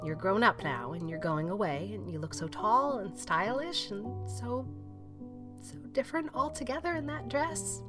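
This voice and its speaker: A woman's voice: medium pitch, animated, normal speed, music in the background, natural voice. A woman playing a practical, reserved older farm woman who speaks plainly in an audiobook drama. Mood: wistful.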